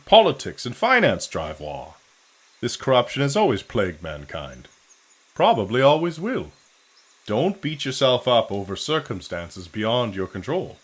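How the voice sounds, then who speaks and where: An actor playing a genteel, worldly-wise officer and loyal friend in an audio drama, measured and philosophical. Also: male voice, mid-range voice. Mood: gently admonishing.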